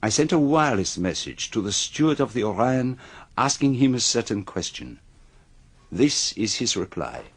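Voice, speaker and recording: A man's voice: medium pitch, very animated, moderate pace, husky. An actor playing a shrewd, courteous older detective in a radio drama, speaking precisely and with formal politeness as he accuses. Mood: methodical, explaining.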